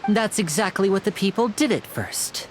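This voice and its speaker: A woman's voice: mid-range voice, lively intonation, moderate pace, a little hiss or hum, natural voice. A woman voicing a courteous, composed young male stage magician in an anime game, polite and theatrical in manner. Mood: grave, explanatory.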